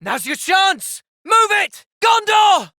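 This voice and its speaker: A man's voice: extremely high pitch, very animated, takes it slowly, sharp tone, dry recording, raised voice, clean studio sound, exaggerated voice. A man playing an earnest, thoughtful young soldier hero with a British accent in a video game. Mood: urgent shouting. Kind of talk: video game cutscene voice acting.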